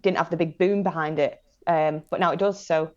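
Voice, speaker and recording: A young woman in her late twenties speaks with a Northern English accent and a fairly low voice. She tells personal stories in a casual, enthusiastic, slangy way as a podcast guest. The recording is somewhat dull and lo-fi. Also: fairly even intonation, a little fast. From northern England, Manchester and Blackpool area. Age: late 20s. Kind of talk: casual chat, personal anecdotes.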